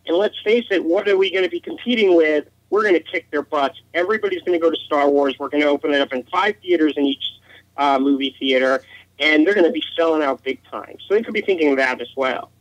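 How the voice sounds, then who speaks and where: A man's voice: a little high, quick pace, no echo, muffled recording. A man speaking as a podcast guest, arguing his opinions emphatically in a casual conversational style.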